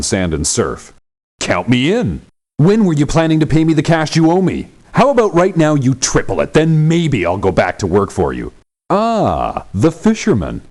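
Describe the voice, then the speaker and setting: An actor playing a brash, sarcastic mercenary locksmith in a video game, with a scornful way of talking. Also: male voice, mid-range voice, darkish tone. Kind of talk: video game character voice lines.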